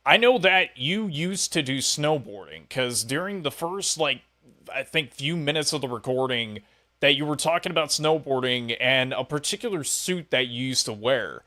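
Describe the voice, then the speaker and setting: Bright-voiced man hosting a casual interview. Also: medium pitch, expressive, moderate pace. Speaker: podcast interviewer. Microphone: voice call on Discord.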